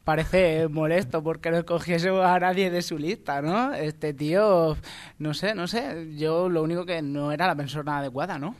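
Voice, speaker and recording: A man's voice: on the high side, normal speed. A man with an accent from Spain, hosting a comedy radio show in joking, casual banter. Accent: Spanish from Spain. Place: radio studio.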